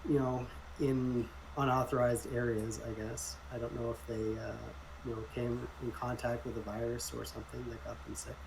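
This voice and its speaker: A man's voice: mid-range voice, flat delivery, normal speed, vocal fry. A man talking casually and somewhat tentatively as a player in a tabletop roleplaying game. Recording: noticeable background noise.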